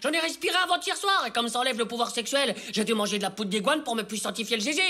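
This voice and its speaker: An actor voicing an excitable, naive ten-year-old boy in a cartoon, full of slangy, dramatic bluster. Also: medium pitch, rapid-fire. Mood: panicked, pleading. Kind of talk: French animated cartoon dialogue.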